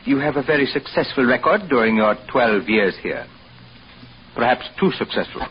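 Man playing a pompous hotel boss, animated intonation. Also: mid-range voice, close to an everyday voice. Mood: veiled suspicion. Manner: formal, pompous, deliberate with pointed pauses. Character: suspicious, condescending male hotel manager, smooth-talking superior. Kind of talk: 1940s radio acting. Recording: dull, faintly noisy old radio recording.

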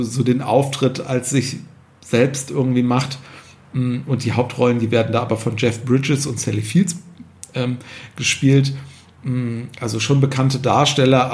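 A man with a mid-range voice hosts a film podcast. He talks conversationally and haltingly, often pausing to search for the next word. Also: mid-range voice, a little mellow. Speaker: podcast host.

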